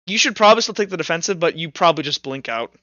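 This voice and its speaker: A man running a tabletop roleplaying game as game master, narrating and explaining rules informally, thinking out loud as he goes. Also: on the high side, expressive, very fast, no room sound, dull audio.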